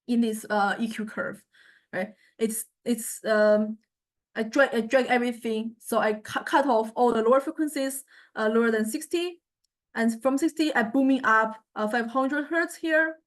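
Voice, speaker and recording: Woman lecturer, slightly high voice, informal software tutorial, halting, repeats word starts, checks in often. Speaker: music technology lecturer.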